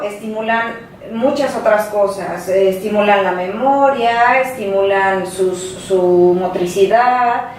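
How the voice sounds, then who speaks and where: A woman's voice: mid-range voice, a little mellow. A woman from Mexico, trained in pedagogy, speaks as a panel guest and explains things earnestly. Her voice is in a middle range, picked up from a distance in a very echoey room. Faint room noise sits behind her. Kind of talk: panel discussion. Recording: roomy studio heard from a distance.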